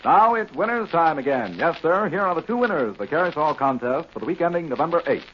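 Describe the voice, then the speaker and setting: A man's voice: a little high, expressive, a little fast, slight background noise. An American man reading a 1940s radio advertisement as a commercial announcer. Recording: muffled old radio recording.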